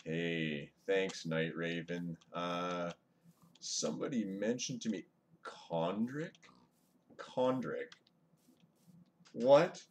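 Male live streamer, deep voice, chatty, greets chat mid-game; webcam mic, faint hiss. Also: very slow, pauses often. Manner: chatty, greets viewers, mutters over gameplay. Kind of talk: casual live-stream chat while gaming. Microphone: webcam microphone, away from mouth.

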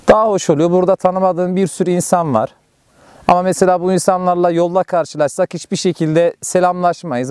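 A man's voice: fairly high pitch, dark tone, clear, resonant tone, no echo. A man talking casually to camera as a helper in a camping group. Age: adult. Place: outdoors at a campsite.

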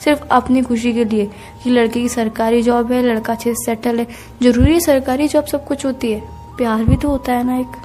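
A woman's voice: on the high side, little pitch movement, moderate pace, warm tone. A young woman speaking plaintively in an emotional private phone conversation. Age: young adult. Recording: phone call recording with music. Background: music.

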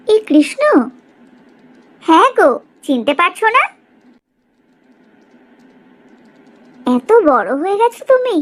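A woman voicing a proud, sharp-tongued middle-aged village mother in a cartoon story, in an exaggerated, gossipy way. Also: very high voice, heavily acted. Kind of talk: Bengali cartoon story dialogue.

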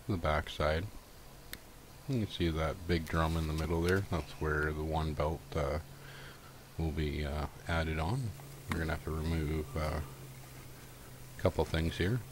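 A man's voice: a bass voice, a little hiss or hum. A man casually narrating a step-by-step repair tutorial in an informal, folksy, unscripted way.

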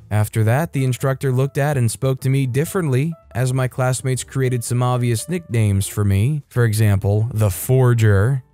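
A man reading out online stories as a narrator, in a steady, matter-of-fact way with light asides of his own. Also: medium pitch. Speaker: story narrator. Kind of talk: scripted narration of reader stories. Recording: faint background music.

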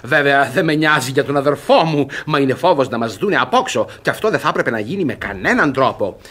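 Suspicious middle-aged man, classic stage comedy, rough voice, animated, blustering, fussy. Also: medium pitch. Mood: indignant. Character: suspicious, gloating, jealous middle-aged man, strict guardian.

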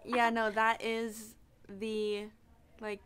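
Effusive young woman, fairly high voice. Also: monotone, drawn-out words, crowd noise. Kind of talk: casual chatty podcast conversation.

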